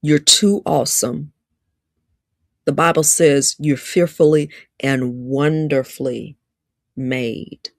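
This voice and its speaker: A woman's voice: deep voice, slow, no echo. Southern lady from alabama, fifties, preaching-style pep talk, says things twice for punch, dry close sound. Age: 50s. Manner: emphatic, preacher-like, repeats lines for stress. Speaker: relationship speaker. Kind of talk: livestreamed motivational talk.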